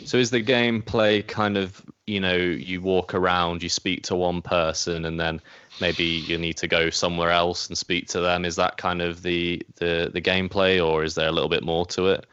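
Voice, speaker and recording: Guy on a gaming podcast, deep smooth voice, kinda feels for his words, slightly muffled dry sound. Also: little pitch movement, normal speed, fairly bright tone, no echo. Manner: hesitant, searching for words. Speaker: podcast co-host. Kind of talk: casual gaming podcast chat.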